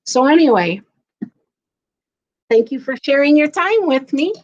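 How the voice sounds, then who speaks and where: A woman reading and chatting for a children's storytime in a playful, expressive way. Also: high voice, unhurried, lo-fi sound. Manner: playful, expressive, sing-song when reading. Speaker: guest storyteller. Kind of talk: children's storytime read-aloud and casual chat.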